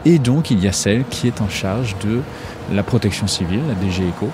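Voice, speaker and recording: A man hosting a podcast, narrating calmly and describing a street on location. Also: on the low side, fairly quick, noisy background. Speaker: podcast host and reporter.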